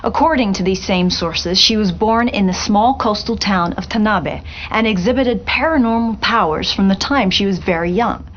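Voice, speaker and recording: A woman playing a polished television reporter in a video game, delivering a grave special report in a measured, articulate way. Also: mid-range voice, lo-fi sound, lightly acted. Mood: intrigued, dramatic.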